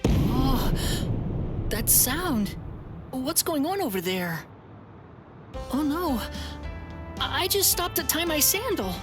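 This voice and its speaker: Nervous clumsy youngster in a game, high voice, really slow and hesitant, music behind. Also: female voice, sing-song, exaggerated voice. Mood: flustered, worried. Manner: hesitant, fretful, anxious. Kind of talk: English video game voice acting.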